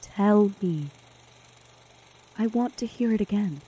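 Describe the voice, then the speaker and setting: A woman's voice: medium pitch, expressive, takes it slowly, mellow, husky. A woman playing a loyal, insistent young friend in an audio drama, speaking in a pleading, supportive way.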